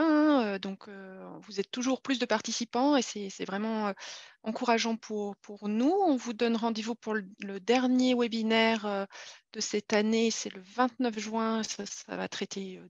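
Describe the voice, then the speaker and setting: A woman's voice: fairly high pitch, no room sound. A woman hosting a webinar and giving closing announcements, with a hesitant delivery full of fillers. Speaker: webinar host. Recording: dull, dry lo-fi audio.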